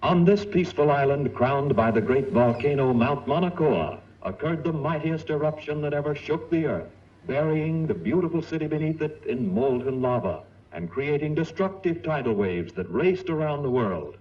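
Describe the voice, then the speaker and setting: A man acting as a formal, portentous narrator of a 1940s adventure cartoon, measured in delivery, on a vintage film soundtrack. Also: fairly high pitch, expressive, dull audio, lightly acted. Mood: grave, ominous.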